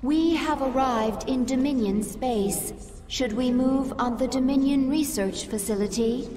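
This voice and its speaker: High-voiced, formal alien servant. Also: female voice, warm tone. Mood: deferential questioning. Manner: formal, deferential, measured. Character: dutiful female alien servant creature, formal and obedient. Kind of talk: sci-fi video game acting. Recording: long reverb, noisy ambient backdrop.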